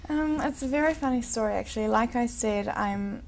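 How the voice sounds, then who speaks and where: Bubbly young woman with a gravelly edge. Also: fairly high pitch, fairly quick, a little mellow, a little hiss or hum. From South Africa. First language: Afrikaans. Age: 20s. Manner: bubbly, earnest, chatty. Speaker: interview guest, pageant titleholder. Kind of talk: casual interview chat.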